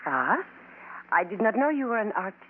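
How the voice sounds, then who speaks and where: A woman's voice: medium pitch, big pitch swings, normal speed, natural voice. A woman playing a coquettish, formal lady in Golden Age radio drama. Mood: intrigued. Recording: tinny, noisy vintage radio broadcast.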